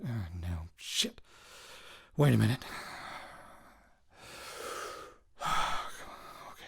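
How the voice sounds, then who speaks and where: A tired, jittery radio reporter keeps an audio diary in a podcast drama. His voice sits a little high for a man, and he talks in a natural, halting way, often interrupting himself. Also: clean tone. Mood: frustrated. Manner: halting, self-interrupting, muttered cursing. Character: weary, jittery male radio reporter recording personal audio notes.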